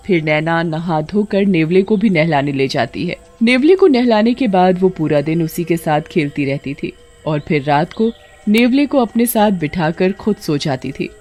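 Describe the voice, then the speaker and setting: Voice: mid-range voice, darkish tone. A storyteller reading scripted horror story narration.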